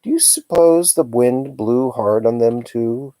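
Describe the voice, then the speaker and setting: A man's voice: medium pitch, a little slow, dark tone, no echo, pitched above the performer's own voice, natural voice. A man reading the part of a thoughtful, brainy young girl in a storybook, reasoning things out aloud. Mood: wondering.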